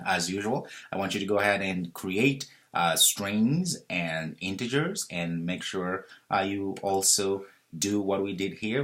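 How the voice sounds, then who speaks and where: A man in his thirties with a very low, deep voice that still has a bright, forceful edge, walking through code in a screen-recorded tutorial. He explains in a relaxed, thinking-aloud way, with fillers and quick self-corrections, and a keyboard clacks now and then behind him. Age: 30s. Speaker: programming instructor.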